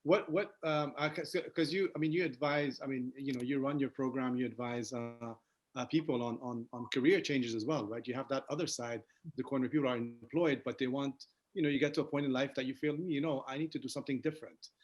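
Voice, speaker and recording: Halting male webinar moderator. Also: mid-range voice, steady tone. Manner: halting, many restarts and fillers. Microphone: video call.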